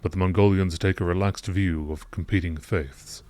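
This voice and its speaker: A man reading scripted lore in a nature documentary narration style, with measured, deliberate phrasing. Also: sits low, fairly even intonation, a little mellow. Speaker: video creator reading game lore aloud. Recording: clearly noisy background.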